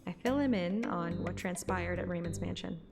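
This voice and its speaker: A woman's voice: lowish voice, moderate pace, gravelly. Woman narrating a story over music. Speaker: fan audiobook narrator and podcast host. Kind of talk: reading a romantic fantasy game story aloud, narration with casual asides. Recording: music playing behind the voice.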